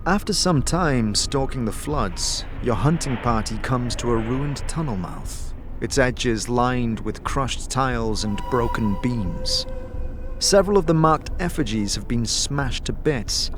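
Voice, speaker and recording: A man narrating a fantasy audio drama in the second person, with a deliberate, descriptive storytelling delivery. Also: medium pitch, animated, music in the background. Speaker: narrator and game master.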